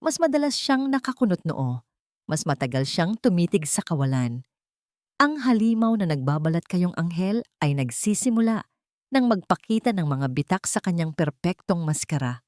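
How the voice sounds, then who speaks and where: A woman narrating a scripted first-person drama in a measured, deliberate way. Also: fairly low pitch, big pitch swings, darkish tone, no room sound, studio quality. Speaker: story narrator.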